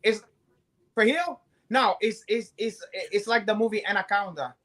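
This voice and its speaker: A man's voice: high-pitched, a little slow, fairly bright tone. Brazilian-accented male co-host, brash and profane, comic bravado. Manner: boastful, swearing, joking.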